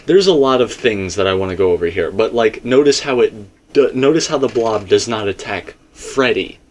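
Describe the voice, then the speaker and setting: A man's voice: on the low side, lively intonation, moderate pace. A man in a casual theory discussion, explaining his points emphatically and circling back to them. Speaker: video game theorist.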